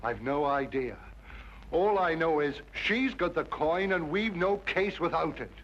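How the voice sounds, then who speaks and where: An actor playing a flustered, gruff middle-aged police inspector in an old detective drama, halting and blustering. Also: male voice, on the high side, expressive, very noisy. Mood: urgent. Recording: dull old drama soundtrack, music behind.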